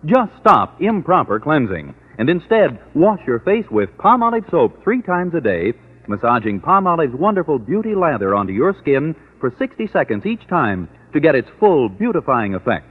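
A man announcing a 1940s radio soap advertisement as an emphatic sales pitch. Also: sing-song, normal speed, breathy edge. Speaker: commercial announcer. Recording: tinny old radio recording.

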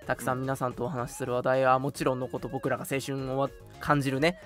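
A young man hosting a casual live radio chat, talking politely and chattily with soft hedges between announcements. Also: medium pitch, flat delivery, fast, faint music behind. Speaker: radio show host.

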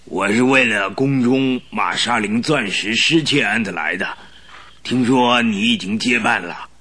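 An actor playing a pompous, irritable old duke who grunts and clears his throat in a radio drama. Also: on the low side, measured pace, pushed and bright. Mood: grumbling. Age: older.

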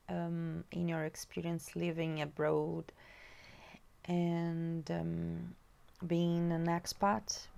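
Slow gravelly-voiced woman host. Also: fairly low pitch. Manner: gentle, hesitant with fillers. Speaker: podcast host. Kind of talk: interview questions.

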